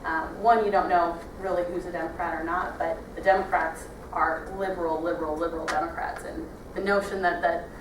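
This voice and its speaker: A young woman with a Wisconsin accent gives a training talk in a noisy, echoey meeting room. She speaks from well away from the mic, in a lowish voice full of "um" and restarts. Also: fairly even intonation, recorded from a distance. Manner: halting, many fillers and restarts. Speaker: party trainer. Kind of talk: training presentation.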